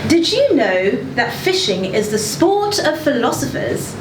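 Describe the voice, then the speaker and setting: A woman reading as a condescending, blunt book editor in a satirical letter, with an arch, deliberate delivery and pointed pauses. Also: high voice, sing-song, voice pushed up. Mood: arch, mock-earnest. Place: indoor social venue at live reading event. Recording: roomy, noisy live venue, distant mic.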